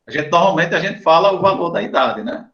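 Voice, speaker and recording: A man with a Brazilian Portuguese accent, a professor giving an informal explanatory lecture to students, often pausing to check in with "né?" and "tá?". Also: a little high, lively intonation, a bit bright, highs cut off. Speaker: university professor.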